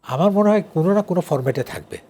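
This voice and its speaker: A man's voice: fairly high pitch, expressive, racing, a little mellow, slightly rough. An older man with a Bangladeshi accent talks casually as an interview guest, explaining in an engaged, conversational way and checking that the listener follows. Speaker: guest, song lyricist and writer.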